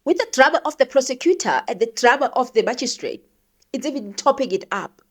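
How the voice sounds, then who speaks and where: A woman's voice: high voice, expressive, fairly quick. A South African woman giving opinionated, conversational news commentary, thinking aloud and posing rhetorical questions. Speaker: commentator, show host.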